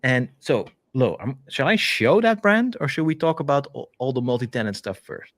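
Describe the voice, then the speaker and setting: A man with a Dutch accent casually walking through a software demo on a live stream, chatty and full of fillers and restarts, heard over a video call. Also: medium pitch, sing-song, moderate pace, no room sound, clean studio sound. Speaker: developer advocate co-hosting a stream. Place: home office, video call.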